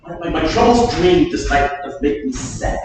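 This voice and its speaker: A performer playing a troubled, loyal duke in a staged Shakespeare reading, speaking in a measured way that rises into stern rebuke. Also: medium pitch, animated, a little mellow, faint music behind, lightly acted. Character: troubled loyal duke, chiding his wife. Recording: echoey hall from far off.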